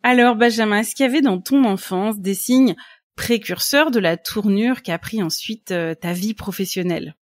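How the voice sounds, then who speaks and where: Measured, polished podcast hostess. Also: female voice, mid-range voice. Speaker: podcast host. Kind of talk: scripted podcast intro and interview questions.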